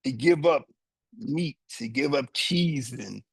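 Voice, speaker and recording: A man in his seventies teaching yoga, guiding students through their body and explaining the practice in a slow, deliberate, explanatory way with short pauses. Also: mid-range voice, vocal fry, husky, no echo. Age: 70s. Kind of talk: guided yoga instruction with teaching asides.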